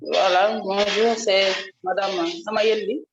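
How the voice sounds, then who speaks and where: Hoarse female participant, halting, noisy dull line. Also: mid-range voice, fairly even intonation. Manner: hesitant, brief phrases. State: hoarse from a cold. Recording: noisy muffled call line.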